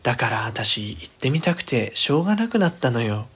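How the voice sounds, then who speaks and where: A man's voice: medium pitch, sing-song, fairly quick, fairly bright tone, husky, full character voice. A man voicing a headstrong, cheeky young girl who spooks easily, with girlish, dramatic phrasing in a horror storybook reading. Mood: cheeky, eager.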